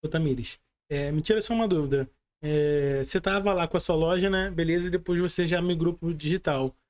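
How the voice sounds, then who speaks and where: A man's voice: a little high, a little fast, a little mellow. A man with a Brazilian Portuguese accent, speaking casually as a podcast host interviewing a guest.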